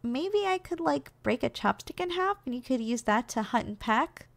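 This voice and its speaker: A woman playing a doting, gentle giantess secretary in an ASMR roleplay, speaking in a caring, softly teasing, slightly hesitant way. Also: high voice, lively intonation, normal speed, gravelly. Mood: reassuring, businesslike.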